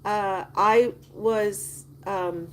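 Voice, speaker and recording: An older woman hosting a livestream, chatting with viewers and telling rambling personal stories with many pauses and fillers. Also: mid-range voice, steady tone, poor audio. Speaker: livestream host. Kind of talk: casual livestream chat answering viewer comments.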